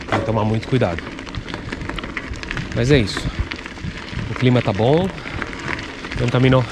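A man with a stuffy nose from a cold talks in a loose, rambling way while pedaling outdoors. His voice sits in an ordinary middle range. It comes through a handlebar-mounted camera with clear wind and traffic noise around it. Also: medium pitch. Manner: chatty, loose and rambling, with restarts. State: congested from a cold. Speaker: cycling vlogger. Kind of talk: casual running commentary while cycling. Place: outdoors on a bicycle on country roads. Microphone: action camera on the handlebars.

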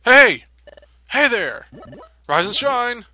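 A man voicing a loud, bossy, impatient monster apprentice from a video game, bellowing his lines. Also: extremely high pitch, very animated, very slow, pitched above the performer's own voice, exaggerated voice. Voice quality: loud, shouted. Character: loud, bossy male monster apprentice, barking wake-up calls.

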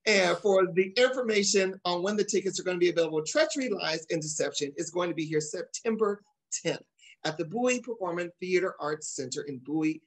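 A man's voice: high-pitched, expressive, brisk. A middle-aged man hosting an online talk show, promoting with emphatic enthusiasm over a video call. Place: indoor room at home, on a video call.

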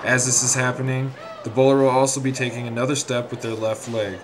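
Man narrating a lesson over clear noise. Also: medium pitch, little pitch movement. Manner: measured, formal, step-by-step. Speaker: instructor, narrator. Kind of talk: scripted instructional narration.

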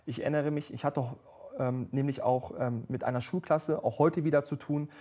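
A young man telling his story as a guest on a TV sofa interview, speaking eagerly into a lapel mic. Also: medium pitch. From Germany. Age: young adult. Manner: fast, eager storytelling with animated hand gestures. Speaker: politician guest. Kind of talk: conversational TV interview. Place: TV studio sofa set.

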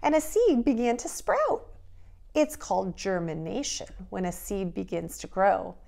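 Woman, middle-aged, preschool teacher, storybook style, very unhurried, gravelly fry in the voice. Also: medium pitch. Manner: expressive, deliberate, addressing young children. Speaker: preschool teacher reading aloud to children. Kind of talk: children's storybook read-aloud and simple hands-on instruction.

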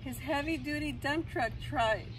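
A middle-aged woman reading a children's storybook aloud in an expressive, sing-song rhyming delivery. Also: high-pitched, unhurried. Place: outdoor fenced lawn near a road. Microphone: camera a few metres away. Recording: outdoor noise, passing cars.

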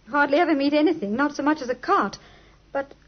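A woman's voice: high-pitched, expressive, fast talker. An actress playing a genteel, earnest young British lady client, speaking expressively in the manner of 1950s radio drama. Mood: earnest, puzzled. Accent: British English. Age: young adult. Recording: muffled, lo-fi 1950s radio recording.

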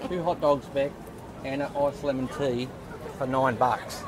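A man's voice: mid-range voice, very animated, slow, crowd noise. A man vlogging a city walking tour, chatting casually and often restarting his words as he teases about good value. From Australia. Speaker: travel vlogger. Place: outdoors on a busy city street. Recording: street traffic noise.

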